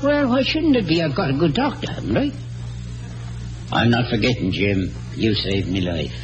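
A man's voice: medium pitch, animated, moderate pace, close to an everyday voice. Man playing a crafty old Irish country doctor, swooping voice. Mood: blustering. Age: older. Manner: wheedling, theatrical, hesitating for effect. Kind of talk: classic radio drama acting. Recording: old radio broadcast with music.

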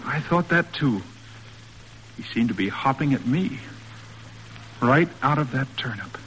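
Man playing eager young farm lad, animated. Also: mid-range voice, measured pace, lightly acted. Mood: shy pride. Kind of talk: 1940s radio drama acting. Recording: noisy old radio broadcast recording.

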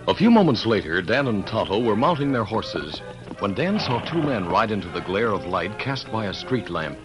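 A man's voice: fairly low pitch, big pitch swings, over music. A man narrating an old-time radio western in a dramatic, scripted announcer style. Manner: dramatic, measured announcer delivery.

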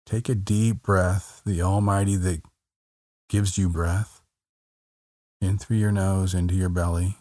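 Casting: man with a very low voice, unhurried, gentle meditation guide, dry close sound. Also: no room sound. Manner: slow, soft, calm, repeats phrases with long pauses.

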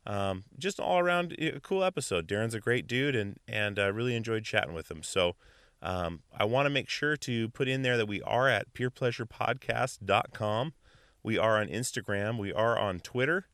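A man hosting a podcast in a relaxed, casual way, with lots of fillers and restarts. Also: fairly low pitch, moderate pace, no echo, clean studio sound. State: hoarse after stomach flu. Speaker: podcast host. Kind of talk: casual podcast intro and interview.

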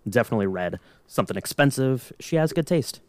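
A man's voice: medium pitch, expressive, brisk, darkish tone, dry recording. A man co-hosting a podcast, chatting casually and playfully in mock-serious fan banter. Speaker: podcast co-host.

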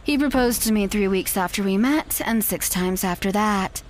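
A woman's voice: mid-range voice, normal speed, slight background noise, close to an everyday voice. A young woman playing a possessive, manipulative fiancée in an audio drama, speaking in a haughty, dismissive way. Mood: possessive, smug. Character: possessive, condescending con-artist fiancée, smug and manipulative. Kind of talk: naturalistic audio drama acting.

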